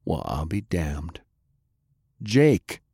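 Man acting a low muttering menacing gangster. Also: very animated, very slow, mellow, slightly rough, no echo, studio quality, exaggerated voice. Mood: grim, muttering.

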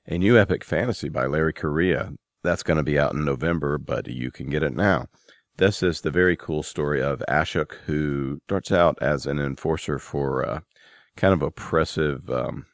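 A man's voice: rumbling low, gravelly, no echo, clean studio sound. A man hosting a podcast, announcing and interviewing in a casual, conversational way with somewhat hesitant phrasing. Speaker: podcast host.

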